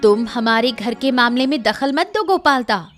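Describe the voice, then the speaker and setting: A woman voicing a spiteful, sharp-tongued village woman in a cartoon story, taunting and nagging. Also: on the high side, expressive, moderate pace, faint music behind. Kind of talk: Hindi cartoon story voice acting.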